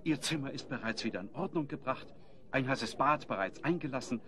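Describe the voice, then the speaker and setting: A man's voice: medium pitch, lively intonation, a little fast, pushed and bright. A man playing an obliging innkeeper in a children's audio drama, formal, courteous and deferential. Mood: apologetic, welcoming.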